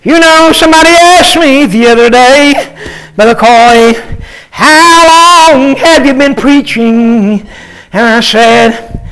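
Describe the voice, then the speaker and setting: Older high-voiced man chanting a sermon. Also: a bit bright, clean tone, poor audio. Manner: chanted sing-song preaching with drawn-out cries; halting, many filler sounds between phrases. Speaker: pastor.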